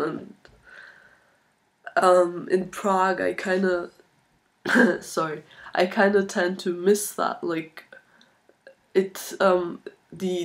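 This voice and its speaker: A woman in her twenties chatting casually to camera in a halting, thoughtful way, recorded on a laptop microphone in a small room. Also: fairly low pitch. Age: 20s. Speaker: video blogger, art student. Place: small furnished room indoors. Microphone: laptop built-in microphone at arm's length.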